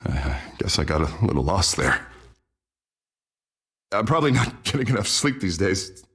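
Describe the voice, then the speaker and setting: A man's voice: deep voice, very animated, moderate pace, fairly bright tone, breathy edge, exaggerated voice. An actor playing a troubled young male mayor in a video game, swinging between friendly banter and tense, agitated talk. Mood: pleading. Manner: shifts from friendly to agitated muttering. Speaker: game character.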